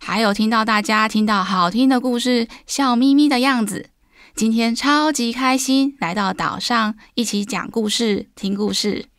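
A woman speaks as a guest storyteller on a children's podcast. Her voice is fairly high and crisp, recorded dry with no room sound. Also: moderate pace, a bit bright, no room sound. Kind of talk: friendly podcast chat for children.

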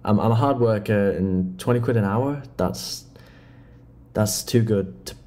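A young British man acting an eager but nervous teenage job applicant. His voice is low and soft-edged, and he speaks hesitantly, stammering and restarting as in a naturalistic horror audio drama. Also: a little slow, lightly acted. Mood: earnest, hesitant. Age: late teens. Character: nervous, eager nineteen-year-old male job applicant.

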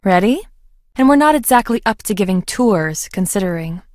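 A woman's voice: mid-range voice, lively intonation, moderate pace, a little mellow, dry recording, clean studio sound, close to an everyday voice. A woman acting a young female research scientist in a video game, conversational, wry and guarded. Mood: casual, friendly.

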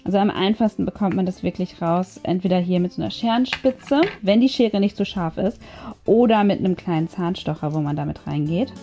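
A woman's voice: lowish voice, fairly quick, warm tone, highs cut off. A woman giving casual craft tutorial commentary, chatty and thinking aloud as she works. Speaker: craft video host. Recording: music behind the voice. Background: background music.

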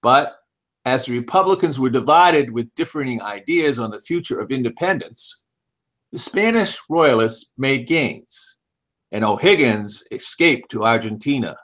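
An older man in his seventies reads an article aloud as scripted narration, in measured, deliberate phrases. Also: medium pitch, lively intonation, a bit bright. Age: older, about 70s. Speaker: reader of an article. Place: small home office, indoors. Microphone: headset.

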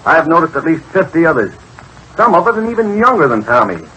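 An actor playing a brash, pushy newspaper reporter in an old radio drama, questioning insistently in slangy talk. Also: male voice, a little high, sing-song, fairly quick, pushed and bright, slight background noise, poor audio. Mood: accusing, indignant.

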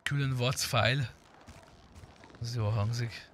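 A man's voice: mid-range voice, fairly even intonation, a bit bright, a little hiss or hum. A man in casual gaming chat, talking bluntly and sarcastically and swearing freely. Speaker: gamer streaming a roleplay game.

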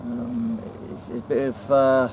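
A man in his forties with an English accent, a football manager giving a measured post-match interview outdoors at night. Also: mid-range voice, level tone, background noise. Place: outdoors in front of a sponsor board at night.

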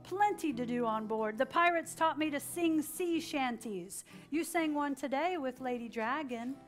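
A high-voiced woman tells a story to young children. She is dramatic and animated, inviting them to repeat lines as she goes. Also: measured pace, soft background music. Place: children's library story room with an audience, indoors.

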